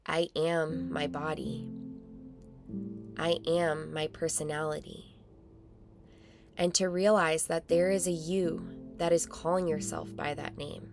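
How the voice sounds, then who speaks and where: A woman narrating a guided meditation in a calm, soothing, measured way with long pauses. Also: low-pitched, creaky. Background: meditation music.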